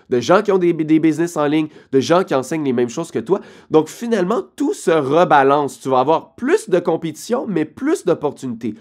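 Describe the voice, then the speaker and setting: A man's voice: fairly high pitch, a little mellow. A man with a Québécois French accent, casually addressing viewers as a vlogger with direct, emphatic advice. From Quebec, Canada. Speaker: online business creator.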